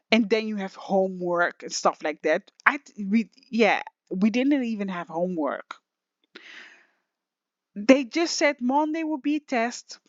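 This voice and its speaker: A woman with a slightly raspy voice talks slowly through a casual software lesson, rambling and restarting often. The recording is dull and lo-fi, with a dry, close sound. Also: a little high, no echo. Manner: rambling, emotional, many restarts and fillers. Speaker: online music software teacher. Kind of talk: casual screen-share tutorial.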